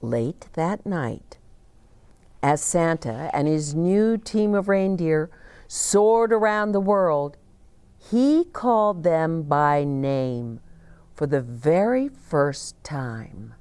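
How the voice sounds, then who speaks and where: Very slow, animated older woman storyteller. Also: low-pitched, smooth, no echo. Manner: dramatic pauses, hushed emphasis. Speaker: children's storyteller. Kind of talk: expressive picture-book read-aloud. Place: small decorated indoor set, seated.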